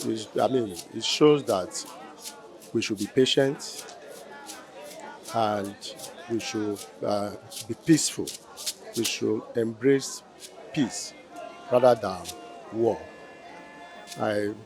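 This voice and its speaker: A man's voice: on the low side, slow pace, vocal fry, pauses often, darkish tone. A man speaking as a parent in the audience, giving an impromptu testimonial and repeating his phrases. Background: music.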